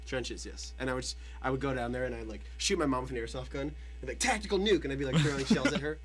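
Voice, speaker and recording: Fast-talking young man bantering on radio. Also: mid-range voice. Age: young adult. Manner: jokey, riffing. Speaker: student radio show co-host. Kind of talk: casual comic banter.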